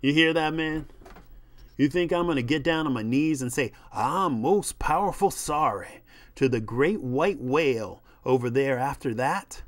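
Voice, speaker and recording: A man's voice: mid-range voice, animated, a little slow, dry recording, voice pushed up, close to an everyday voice. A man voicing a stubborn, indignant movie star who talks in a blunt, defiant manner.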